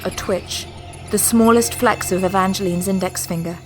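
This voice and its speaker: A woman's voice: mid-range voice, fairly even intonation, moderate pace, darkish tone, soft background music. A woman narrating a sci-fi audio drama in a scripted, dramatic style.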